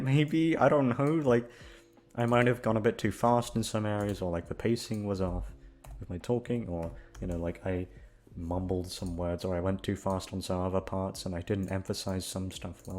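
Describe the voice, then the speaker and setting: A young adult man who works as an ASMR voice actor, talking in an unhurried, informal way. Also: lowish voice, animated, darkish tone. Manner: self-deprecating, halting. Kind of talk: casual, rambling chat to listeners.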